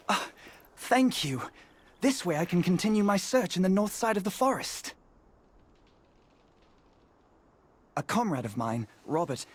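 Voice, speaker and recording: An actor playing an earnest, courteous resistance investigator in a video game, polite and a little hesitant when worried. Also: male voice, a little high, husky. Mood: eager, grateful. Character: earnest, courteous male resistance investigator, anxious about a missing child. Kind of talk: video game character dialogue.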